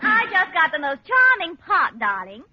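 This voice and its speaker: An actress playing a gushing, catty romantic rival in 1940s radio comedy. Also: female voice, very high voice, huge pitch swings, normal speed, a loud crowd, exaggerated voice. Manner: gushing, saccharine. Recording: noisy, dull vintage radio recording.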